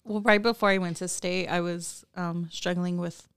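A woman's voice: on the low side, steady tone, normal speed, vocal fry, clean tone, no echo, clean studio sound. A woman in her early twenties answering casually and a little hesitantly as an interview guest. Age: early 20s. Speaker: podcast guest, program client. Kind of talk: casual personal conversation.

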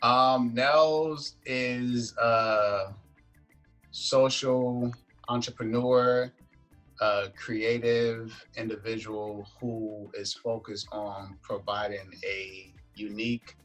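A man from Liberia who moved to the United States as a child, answering as a podcast guest in a halting, word-searching way. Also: mid-range voice, fairly even intonation, a bit bright, faint music behind, rough recording. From Liberia, moved to the United States at five. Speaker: podcast guest, events entrepreneur. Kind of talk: interview answers.

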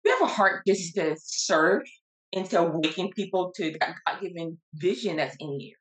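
Hoarse, earnest woman coach. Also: lowish voice, lively intonation, rough recording. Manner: earnest, halting with fillers. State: losing her voice. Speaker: guest, life coach. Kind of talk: interview conversation.

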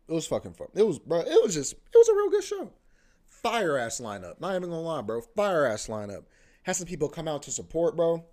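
A man's voice: on the high side, darkish tone. Laid-back slangy young man podcasting. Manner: laid-back slangy talk, constant "bro" and "you know what I mean". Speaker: podcast host; comedy show host. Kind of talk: solo rambling podcast monologue.

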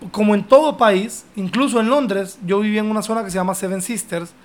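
A man's voice: sits high, brisk. A young man from Honduras, speaking Spanish with a Honduran accent, hosting a casual, joking chat. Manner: joking, chatty, uses vos.

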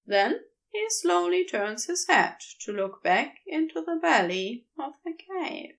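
A woman reading fan fiction aloud as an audiobook in a measured, expressive storytelling manner. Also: high-pitched, creaky. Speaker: podfic reader. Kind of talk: fanfiction audiobook narration.

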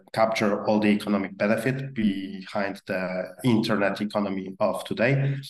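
A man with a Polish accent, a guest answering interview questions in a brisk, earnest way with occasional fillers. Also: on the low side. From Poland. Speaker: guest, blockchain executive. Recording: roomy echo.

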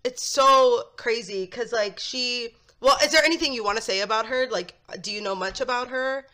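A woman's voice: on the high side, normal speed. Nasal, stuffy-voiced woman podcaster. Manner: chatty, animated, rambling. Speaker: podcast co-host. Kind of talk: casual podcast chat.

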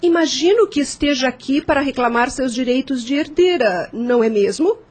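Radio drama: gracious, inquisitive mature lady of the estate, formal and theatrical, high-pitched with a husky edge. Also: normal speed. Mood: wistful. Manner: formal, theatrical, expressive delivery. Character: elegant mature landowner's widow, inquisitive, gracious.

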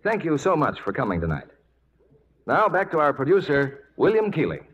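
A man's voice: on the high side, huge pitch swings, normal speed, a bit bright. A man working as a polished 1940s radio announcer, delivering advertising copy. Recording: dull, noisy 1940s radio recording.

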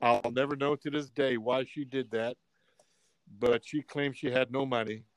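A man's voice: medium pitch, normal speed, dry recording. Older Italian-born man telling stories. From Italy. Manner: animated storyteller, many restarts and fillers. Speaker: comedian guest. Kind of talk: casual storytelling chat.

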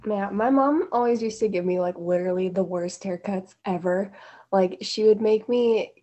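A teenage girl chatting casually in a group, full of fillers and quick asides. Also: medium pitch, mellow, dull audio. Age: teens. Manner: chatty, filler-heavy, giggly.

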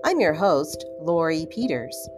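A woman who is a counselor hosting a grief podcast, talking gently and in a measured way, a little stuffy from allergies. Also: low-pitched, big pitch swings, music in the background. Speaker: podcast host and licensed counselor. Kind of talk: solo podcast talk.

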